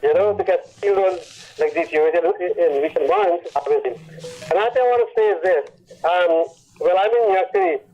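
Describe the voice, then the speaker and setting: High-voiced rambling caller on a phone line. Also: male voice, brisk, warm tone. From Guyana. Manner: rambling, restarts often.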